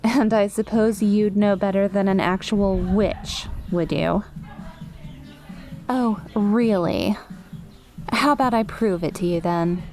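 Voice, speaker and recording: A woman's voice: medium pitch, takes it slowly, darkish tone, soft background music. A woman playing a cute, playful witch in an ASMR roleplay, speaking confidently and coaxingly straight to the listener. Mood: teasing, challenging. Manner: conversational, teasing, coaxing.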